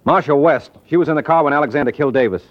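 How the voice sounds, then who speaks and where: An actor playing a glib, sardonic private detective in an old-time radio drama. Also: male voice, on the high side, very fast, natural voice. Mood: urgent. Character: wisecracking, unflappable hard-boiled male private detective. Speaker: lead detective. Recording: muffled old radio recording.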